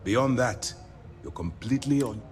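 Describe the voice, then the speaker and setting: An actor playing a stern, measured military commander in a video game, speaking with grave, deliberate authority. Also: male voice, mid-range voice, lively intonation, a little hiss or hum, natural voice. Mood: firm. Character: stern, measured male military commander briefing an undercover operative. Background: background music.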